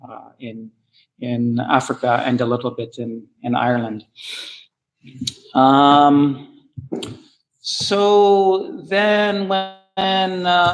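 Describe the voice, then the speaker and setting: A man's voice: on the high side, low-quality recording. A man from Canada giving a casual travel talk to an audience, hesitant, with many fillers and restarts. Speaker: guest speaker at travel club.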